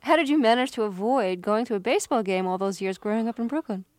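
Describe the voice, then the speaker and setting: A woman interviewer asking an actor long, measured questions about his roles. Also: fairly high pitch, lively intonation, darkish tone, dry recording.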